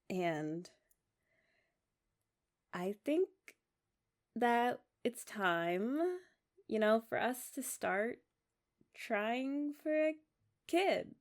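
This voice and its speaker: A young woman playing a loving, sentimental young wife in a romantic ASMR roleplay, talking tenderly and haltingly as if to her partner. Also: a little high, darkish tone, full character voice. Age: young adult.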